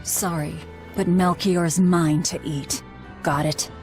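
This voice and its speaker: A woman's voice: fairly low pitch, fairly even intonation, takes it slowly, darkish tone, soft background music. A woman voicing a grim, vengeful young woman who leads her band with cold, clipped commands in a game cutscene. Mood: cold, commanding. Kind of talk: video game cutscene voice acting.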